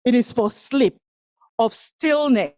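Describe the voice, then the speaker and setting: Slow, incantatory woman speaking poetry. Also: fairly high pitch, a little mellow, no echo. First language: Igbo. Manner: rhythmic, incantatory delivery with long pauses. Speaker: speaker at a women's event. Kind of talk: spoken-word poetry performance.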